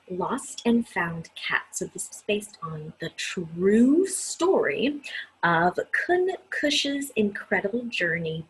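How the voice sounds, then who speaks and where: A woman reads a children's story aloud at an unhurried pace. Her voice is very breathy and husky, with lively, swooping intonation. She speaks straight to her young listeners in an expressive storytelling manner. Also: on the low side, poor audio. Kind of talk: read-aloud storytelling with personal asides.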